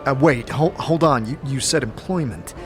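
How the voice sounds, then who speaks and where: A man's voice: mid-range voice, moderate pace, close to an everyday voice. Man playing a hesitant, stammering adventurer over music. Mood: hesitant, puzzled. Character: unsure, polite male adventurer short of money. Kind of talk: fantasy audio game dialogue. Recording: music under the voice.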